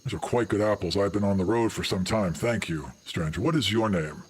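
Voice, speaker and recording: A man voicing a massive, grave female centaur hunter in a tabletop game, with a husky low voice and a formal, measured manner. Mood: courteous.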